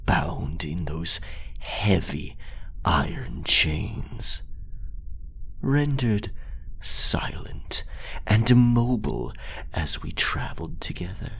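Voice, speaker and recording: A man playing a possessive, menacing pirate lover in an intimate, breathy, theatrical ASMR roleplay. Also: a bit bright, full character voice. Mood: menacing, commanding. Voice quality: breathy, low. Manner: slow, theatrical, alternating whispers with sudden swells.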